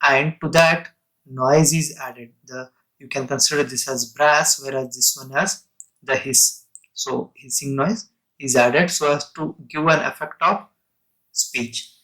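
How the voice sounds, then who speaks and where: A man lecturing on technical material in a halting, explanatory way, breaking sentences into short phrases and restating terms. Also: mid-range voice, fairly even intonation, sharp tone, low-quality recording.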